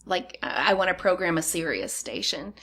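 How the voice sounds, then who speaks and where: A woman guest on a podcast, talking in relaxed casual chat about her radio work, laughing easily. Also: on the low side, moderate pace, creaky, husky.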